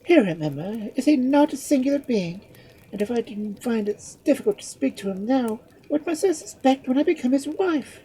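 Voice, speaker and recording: Woman reader as a cool young lady, high voice, calm and chiding, storybook. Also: moderate pace, poor audio. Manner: calm, mildly scolding. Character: cool, composed young noblewoman. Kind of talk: storybook reading.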